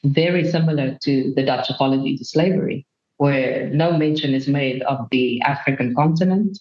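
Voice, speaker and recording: A woman's voice: low-pitched, darkish tone. A woman from Namibia, giving earnest, thoughtful answers as an interview guest. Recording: roomy, dull remote recording.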